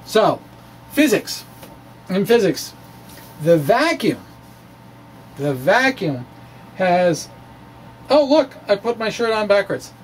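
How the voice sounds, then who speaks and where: Guy with a highish voice doing a slow dramatic science blog reading, halting, wanders off script, bit noisy. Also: sing-song. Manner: halting, theatrical, self-deprecating. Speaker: physics blogger presenting his own talk.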